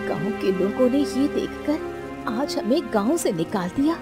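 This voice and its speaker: Woman acting a plaintive village mother, very expressive. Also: fairly high pitch, measured pace, music in the background. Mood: pleading. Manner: pleading, plaintive. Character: distressed, imploring poor village mother. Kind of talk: Hindi cartoon story dialogue.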